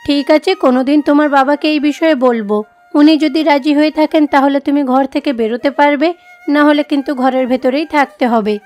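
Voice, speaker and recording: A woman voicing a dutiful village mother in an animated moral story, coaxing and explaining things. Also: high-pitched, normal speed, faint music behind, close to an everyday voice. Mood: matter-of-fact. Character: dutiful, gently persuasive village mother, middle-aged wife.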